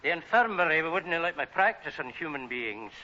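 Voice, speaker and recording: A blunt Scottish vet who likes his whisky, with a high, lively voice that moves all over. It is sitcom acting on muffled sound. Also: moderate pace, very noisy. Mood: dry, eager.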